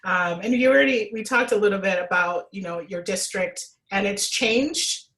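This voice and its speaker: A woman's voice: medium pitch, moderate pace, a bit bright, rough recording. A woman originally from Jamaica, a sommelier hosting a casual interview, asking friendly, winding questions full of asides. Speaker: sommelier host.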